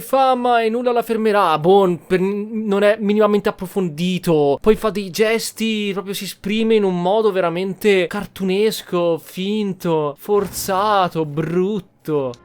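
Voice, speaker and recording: A man commentates on his roleplay playthrough in a notably high voice for a male. He is blunt and opinionated in a casual way. Faint music plays in the background. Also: clear, resonant tone. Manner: blunt, critical.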